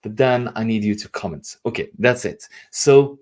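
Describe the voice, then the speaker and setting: A man's voice: lowish voice, moderate pace, noisy background. A man with a Norwegian accent, a native Norwegian speaker, talking casually to a livestream audience as a coach in an effusive, affectionate way. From Norway. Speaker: life and leadership coach hosting a live stream. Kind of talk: casual livestream talk to camera.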